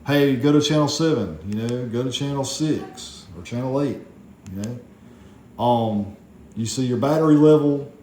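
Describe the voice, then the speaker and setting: A man's voice: mid-range voice, unhurried, warm tone. A man casually reviewing gear as a radio hobbyist, plainspoken and rambling as he explains things. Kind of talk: casual product review vlog. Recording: echoey room, faint background noise.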